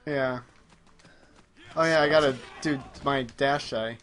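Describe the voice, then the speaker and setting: A man chatting casually as he plays a video game, often distracted and halting as he talks. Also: mid-range voice, a bit bright, soft background music. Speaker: video game streamer playing live. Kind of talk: casual gaming chat while playing.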